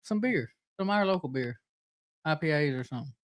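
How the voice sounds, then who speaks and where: Male co-host, slightly raised pitch, rapid pace, informal short interjections in casual chat. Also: lively intonation. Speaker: podcast co-host.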